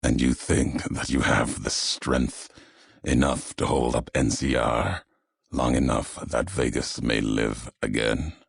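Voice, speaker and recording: A man voicing a brooding, vengeful wasteland messenger in a video game, speaking in solemn, measured, cryptic pronouncements with a gravelly, husky voice. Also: extremely low pitch, steady tone, dry recording, studio quality, full character voice. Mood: grim, judging. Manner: solemn, measured, cryptic oratory with weighted pauses. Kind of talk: dramatic video game character monologue.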